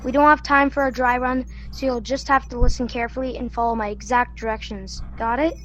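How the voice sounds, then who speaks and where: A woman's voice: a little high, steady tone, a little fast, fairly bright tone, lo-fi sound, lightly acted. A young woman playing a brainy, bossy, urgent teenage boy navigator in a sci-fi radio play. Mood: commanding. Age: young adult.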